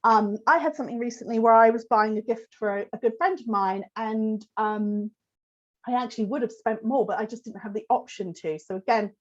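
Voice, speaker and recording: Croaky woman giving a webinar. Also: on the high side, a little fast, muffled recording. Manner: conversational, many fillers, answers chat questions. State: croaky from a cold. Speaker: host giving a business talk. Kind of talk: live webinar with Q&A.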